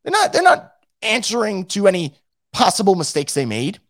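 A hoarse man who is losing his voice hosts a casual fan show and reads out the live chat, blunt and profane, with many restarts. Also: high-pitched, wildly swooping pitch, normal speed, a bit bright, dry recording. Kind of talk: casual post-game rant.

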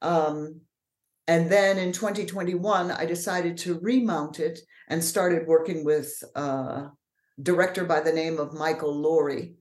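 Woman with a deeper voice, blunt and lively, low pitch, kinda roomy echo. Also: a little slow. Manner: frank, lively storyteller. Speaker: author and actor, interview guest. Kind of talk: casual interview conversation.

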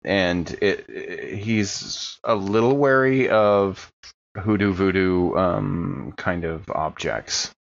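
Lowish-voiced man, slightly muffled, narrating casually. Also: takes it slowly, gravelly. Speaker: tabletop role-playing game player. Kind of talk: casual improvised narration of his character's actions.

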